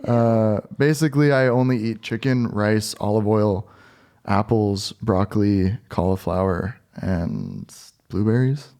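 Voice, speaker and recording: A man chatting casually as a podcast co-host, joking with deadpan exaggeration. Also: on the low side, measured pace. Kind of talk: casual comedic banter.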